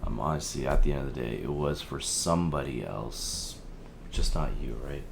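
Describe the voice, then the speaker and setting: Man acting a low-voiced, hesitant, apologetic guy. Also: creaky, background noise. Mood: sheepish, apologetic. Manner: hesitant, halting, conciliatory. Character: Theo, apologetic, evasive male helpline listener with a troubled marriage. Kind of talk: audio drama, naturalistic phone conversation.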